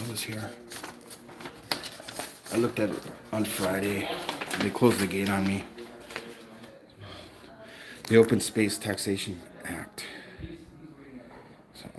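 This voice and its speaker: A chatty man with a slightly low voice and a faint Canadian accent talks casually to his livestream viewers. He answers their comments aloud. The sound is roomy and echoey, with people murmuring behind him. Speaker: citizen auditor livestreamer.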